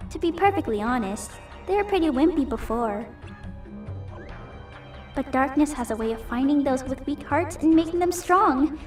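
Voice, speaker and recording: A woman in an amateur fandub voicing a smug, taunting and playful dark creature with a teasing, mock-sweet manner. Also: high-pitched. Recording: roomy home recording, distant mic, music behind.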